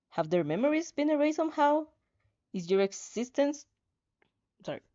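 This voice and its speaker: A woman with a mellow, relaxed voice reads fan fiction aloud in a casual way. She is giggly, trips over words and drops in her own reactions. The recording sounds a little dull and lo-fi. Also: medium pitch. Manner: giggly, stumbles over words while reading.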